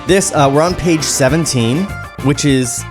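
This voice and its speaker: A man's voice: on the high side, a little slow, over music. A man hosting a comedy podcast, talking casually and matter-of-factly. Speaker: podcast host. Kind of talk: casual comedic chat, reading script aloud.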